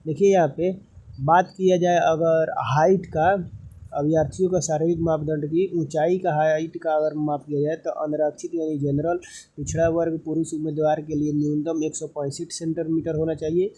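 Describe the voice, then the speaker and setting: A man with a very dark, muted tone that sits slightly high in pitch. He presents official information as an instructor would, reading formal clauses aloud. He often repeats and rephrases key points to make them plain. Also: level tone, moderate pace, slightly rough, low-quality recording. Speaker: presenter explaining a job recruitment notice. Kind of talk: instructional read-through of an official notice with plain explanations.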